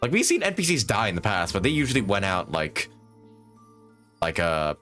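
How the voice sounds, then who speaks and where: Low-voiced man musing through a game stream. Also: huge pitch swings, moderate pace, fairly bright tone. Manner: musing, thinking aloud. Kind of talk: casual live commentary, reading game text aloud. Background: game music.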